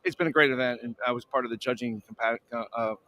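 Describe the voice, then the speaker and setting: Fast-talking, enthusiastic man. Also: medium pitch, steady tone, bright, forceful tone, poor audio. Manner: enthusiastic, effusive. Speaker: interview guest, telecom executive. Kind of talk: casual live interview.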